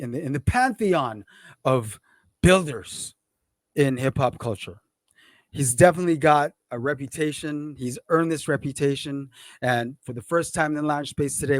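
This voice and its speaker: Hype podcast host, higher voice, dry sound. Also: male voice, no room sound. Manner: hype, emphatic, hip hop slang. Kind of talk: hosting an interview podcast.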